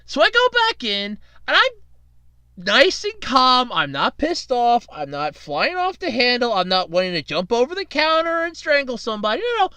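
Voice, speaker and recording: Lively chatty podcast host, bright voice. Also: male voice, extremely high pitch, moderate pace, clean tone, no room sound. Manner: rambling, chatty, self-deprecating, jokey. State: fighting a cold, tired from night shifts. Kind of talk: casual solo podcast monologue.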